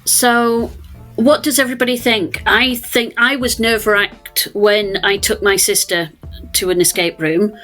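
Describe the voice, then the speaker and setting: A woman on a discussion panel, telling stories in a lively, self-deprecating way. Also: on the high side. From Scotland. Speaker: escape room business panelist.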